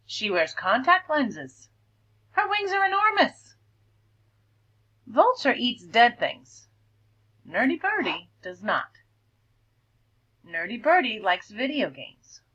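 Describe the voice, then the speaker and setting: A woman teacher reading a picture book aloud and asking her class gentle questions about it. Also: a little high, sing-song, slow, pauses often, slightly rough, dull audio. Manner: gentle questioning, prompting children to think.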